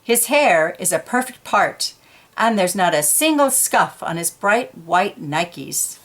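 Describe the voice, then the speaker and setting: A woman's voice: on the low side, lively intonation, takes it slowly, a bit bright. Woman reading a picture book aloud. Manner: explains pictures in informal asides between read lines. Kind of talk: read-aloud storytelling with conversational asides to children.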